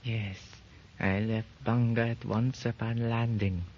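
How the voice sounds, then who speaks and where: An actor playing a cold, scheming henchman in a 1930s radio drama, measured and sly. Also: fairly low pitch, flat delivery. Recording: dull lo-fi 1930s radio recording with faint hiss.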